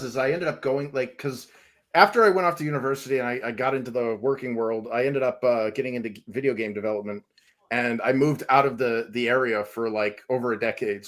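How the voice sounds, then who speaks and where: A man's voice: mid-range voice, fairly bright tone. A man from New England telling rambling stories in a casual panel chat, laughing often as a comic creator guest.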